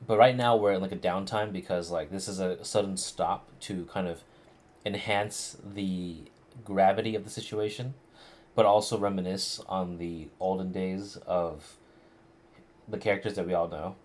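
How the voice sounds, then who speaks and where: A man's voice: low-pitched, steady tone, moderate pace, darkish tone. A man giving casual live playthrough commentary, rambling and hesitant, with plenty of slang. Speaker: game streamer.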